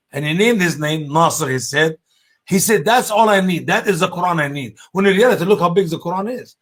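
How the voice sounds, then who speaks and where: A middle-aged man from Egypt with an Egyptian Arabic accent, co-hosting a casual panel discussion in an excitable, emphatic way. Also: slightly rough. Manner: excitable, emphatic, swings high on key words. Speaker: co-host.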